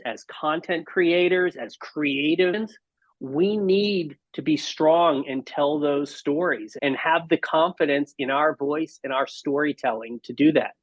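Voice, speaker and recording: A man's voice: on the high side, no echo, lo-fi sound. A man speaking as a podcast guest, reflective and earnest, encouraging in his way of talking. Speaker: podcast guest, marketing executive. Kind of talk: reflective interview conversation.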